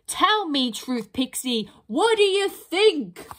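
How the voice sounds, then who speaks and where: A woman voicing a huge, greedy, boastful male troll who speaks slowly in broken grammar. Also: sing-song, a bit bright, full character voice. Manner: slow, rumbling, ungrammatical speech.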